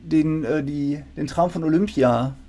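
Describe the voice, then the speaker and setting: A middle-aged man with a fairly high voice for a male speaker. He interviews informally over a video call, building long, winding questions and correcting himself along the way. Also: unhurried, warm tone. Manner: discursive, self-correcting. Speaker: interviewer, university lecturer. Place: indoor room with bookshelves.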